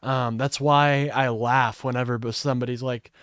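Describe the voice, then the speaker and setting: A man streaming live, reacting to a clip with blunt, profane, sarcastic commentary and a fairly high voice. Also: fairly even intonation, moderate pace, fairly bright tone, no room sound, studio quality. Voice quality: says his voice is high-pitched. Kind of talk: casual political commentary.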